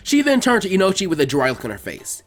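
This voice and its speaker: A man reading a story aloud as an expressive amateur narrator. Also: sits high, quick pace. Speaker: fan fiction reader. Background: music.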